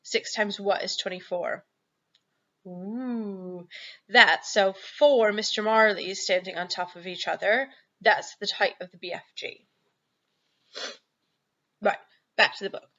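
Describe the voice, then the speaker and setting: A woman's voice: medium pitch, fairly even intonation, slow pace, long pauses, pushed and bright, lo-fi sound. A woman primary school teacher reading aloud and chatting to her pupils, explaining things simply and encouragingly. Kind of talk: animated storybook read-aloud with chatty asides to pupils.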